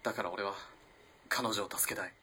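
An actor playing an earnest, lovestruck young student in an early twentieth-century Japanese radio play, halting and moving between hushed and impassioned delivery. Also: male voice, on the low side, fairly even intonation, a little hiss or hum. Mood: subdued, wistful. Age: young adult. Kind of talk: dramatic radio play acting.

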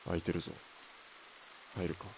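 A man playing a calm, loyal-hearted hired killer in a 1920s Japanese radio drama, speaking quietly in terse, composed lines. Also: moderate pace, background noise, lightly acted. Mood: calm, watchful.

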